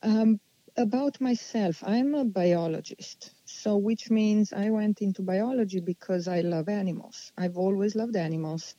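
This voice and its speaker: A woman, answering interview questions unhurriedly and pausing as she thinks. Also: medium pitch, warm tone, no echo, muffled recording. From Slovenia. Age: 40s. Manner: unhurried, thoughtful, with many pauses and restarts. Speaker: guest.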